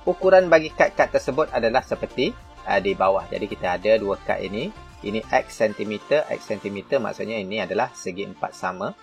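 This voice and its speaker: A man's voice: lowish voice, animated, a little fast, vocal fry, faint music behind. A man with a Malaysian Malay accent teaching maths, working through textbook examples step by step with fillers and self-corrections. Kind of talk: explaining a textbook lesson.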